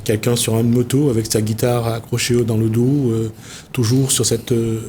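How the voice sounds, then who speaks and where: Male TV host, mid-pitched, meandering, thinks aloud, light studio hum. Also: little pitch movement, normal speed, mellow. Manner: meandering, searching for words. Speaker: TV show host. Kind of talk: reflective studio talk.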